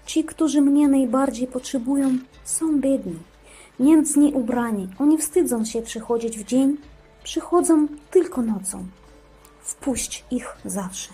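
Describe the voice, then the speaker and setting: Talking christ statue woman doing it, high husky voice, solemn n gently firm, cartoon. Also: slow, soft background music, full character voice. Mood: firm, instructive. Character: broken Christ figurine, gently firm, self-giving. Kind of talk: amateur religious drama in a cartoon.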